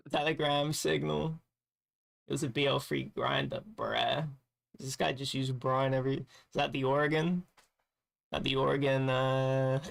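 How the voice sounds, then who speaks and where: Tired gravelly-voiced male streamer. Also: on the high side. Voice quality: raspy, gravelly edge. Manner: loose, rambling, swears casually. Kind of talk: casual gaming stream chat.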